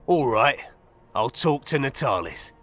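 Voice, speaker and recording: A rough, cocky hired thug. The voice is forceful and swings widely in pitch, crude and defiant, with game music underneath. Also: male voice, sing-song, measured pace. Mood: indignant. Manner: crude, blunt, colloquial. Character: coarse, foul-mouthed male hired thug, cocky and defiant. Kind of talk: video game voice acting.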